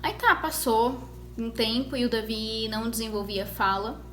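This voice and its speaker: A woman's voice: on the high side, a bit bright. Chatty mother in an echoey room. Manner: conversational storytelling with many pauses and restarts. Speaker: mother sharing her experience. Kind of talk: casual personal vlog. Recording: echoey room with faint noise.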